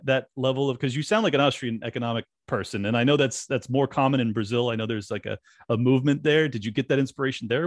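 A man's voice: medium pitch, dry recording, clean studio sound. A man hosting a podcast interview, talking casually with restarts and fillers. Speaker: podcast host. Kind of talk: conversational interview.

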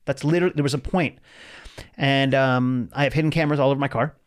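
A man in his forties, a stand-up comedian talking casually as an interview guest, telling stories in an animated way and acting out dialogue. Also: mid-range voice, fast talker, smooth. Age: 40s. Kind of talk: casual conversational storytelling.

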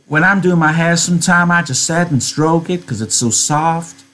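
Man putting on a high, vain young farm wife. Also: normal speed, fairly bright tone, natural voice. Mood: complacent, chatty. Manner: chatty, informal rustic speech.

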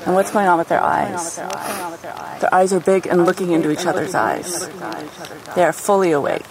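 A woman giving an informal spiritual talk in an earnest, reflective manner, with halting phrasing. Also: lowish voice, crowd noise, poor audio. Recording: outdoor field recording with music.

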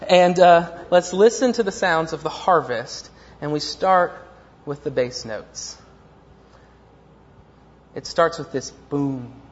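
A man's voice: fairly high pitch, slow, pauses often. A man preaching a sermon as pastor, rambling and self-deprecating, with many fillers and restarts. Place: church. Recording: dull lo-fi church recording with long reverb.

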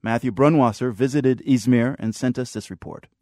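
A man's voice: medium pitch, normal speed, darkish tone, no echo. A man presenting a radio news program from a script.

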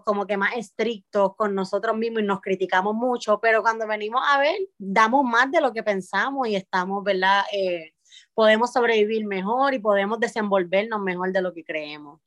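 A woman from Puerto Rico with a Puerto Rican Spanish accent, a guest on a podcast, talking in a reflective way with pauses and restarts. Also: medium pitch, fairly bright tone, dry recording. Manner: thoughtful, with frequent pauses and restarts. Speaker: podcast guest, parent coach. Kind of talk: reflective conversational interview.

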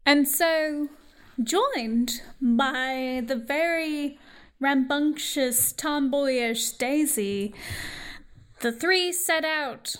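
A woman playing a measured, mock-grand narrator of a radio play, speaking deliberately. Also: sits high, a bit bright, exaggerated voice.

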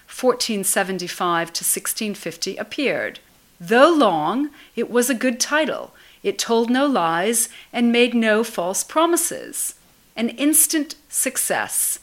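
A woman's voice: mid-range voice, fairly bright tone. Woman narrating a written obituary from a script, normal midrange voice.

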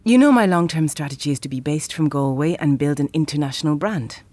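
A woman's voice: deep voice, brisk, darkish tone, dry recording. An actress playing a frank, playful, independent woman in a naturalistic audio drama. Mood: guarded.

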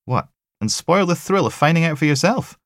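A man's voice: a little high, animated, normal speed, a bit bright, no echo, studio quality, voice pushed up. A man voicing a blunt female forensic technician with a Scottish accent and a wry, matter-of-fact manner, in an audiobook. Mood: teasing.

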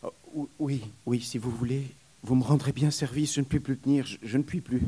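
A man's voice: expressive, moderate pace, a little mellow. A man voicing a suffering young wet nurse, pleading in a raised, breathless voice. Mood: distressed. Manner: high, plaintive, breathless pleading.

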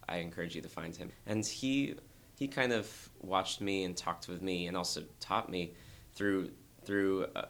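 A young man, a dancer, talking earnestly and thoughtfully in an interview, with a very low voice and frequent restarts. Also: creaky, a bit bright. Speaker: dancer guest. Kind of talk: reflective interview talk.

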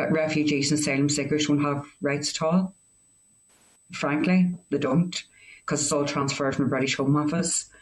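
A man speaking earnestly as a committee member, halting with restarts and fillers. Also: on the high side, rough recording. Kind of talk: committee meeting remarks.

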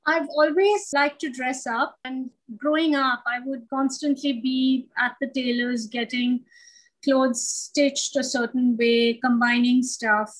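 A woman's voice: sits high, fairly even intonation, takes it slowly, poor audio. A middle-aged woman, from Sri Lanka and India, answering questions conversationally as an interview guest.

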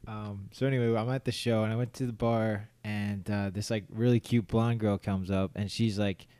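Mellow-voiced young man rambling through a story. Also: lowish voice, level tone, normal speed, clean tone, no echo. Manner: rambling storyteller, many fillers and restarts; laughs often, acts out his own quoted thoughts. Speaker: podcast co-host. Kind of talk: casual chat.

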